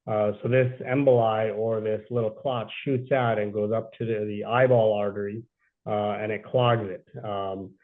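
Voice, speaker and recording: A male surgeon explains vascular problems on a panel in a low, flat voice. He speaks in a measured way with frequent fillers. The sound is thin and tinny, like a phone line. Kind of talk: medical panel Q&A.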